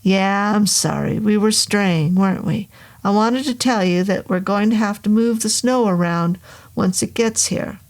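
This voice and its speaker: A woman's voice: mid-range voice, unhurried, a little mellow, close to an everyday voice. A woman voicing a congenial, joking caretaker man of sixty who talks in an easy, casual way. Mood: easygoing. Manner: easygoing, joking.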